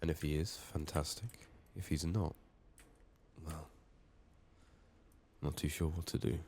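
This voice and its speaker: Really deep quiet guy doing asmr gaming, voice sore and sick, grumbly swearing, bit of background noise and key clicks. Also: steady tone, a little slow. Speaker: video game streamer. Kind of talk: soft-spoken ASMR game commentary.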